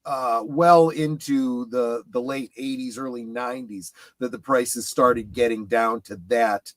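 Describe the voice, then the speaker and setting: Man, medium pitch, casual explaining, pauses mid-thought, dry recording. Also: steady tone, dry recording. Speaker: panel guest. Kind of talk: casual explanatory fan discussion.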